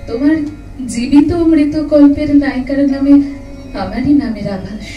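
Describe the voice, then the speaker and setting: An actress playing a sorrowful, tender and haunted young sister-in-law in an audio drama, speaking slowly with lingering emotion. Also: female voice, sits high, mellow, lo-fi sound. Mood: wistful. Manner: slow, emotive, lingering, repeats phrases. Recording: roomy echo, distant mic, music underneath.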